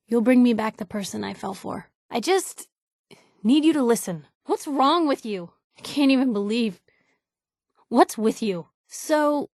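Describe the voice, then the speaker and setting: An actress playing a feisty, wry young woman reporter in video game companion dialogue, conversational, slangy and expressive. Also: fairly high pitch, dry recording, studio quality. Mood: hurt, sad, then exasperated, then playful, earnest. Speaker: voice actor for a game companion character.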